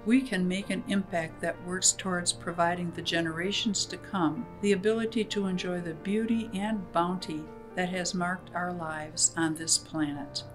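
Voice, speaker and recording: A woman's voice: lowish voice, low-quality recording. An American woman delivering a scripted closing address. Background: guitar music.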